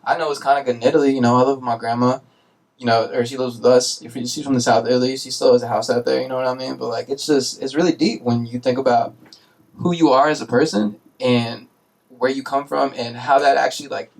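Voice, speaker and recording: A man's voice: medium pitch, fairly even intonation, fast, vocal fry, poor audio. A young man hosting a college radio talk show, rambling in casual street slang with lots of fillers. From United States. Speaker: radio host.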